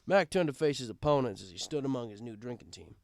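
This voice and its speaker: A man's voice: mid-range voice, lively intonation, fast, no echo. A man reading a first-person story as scripted narration.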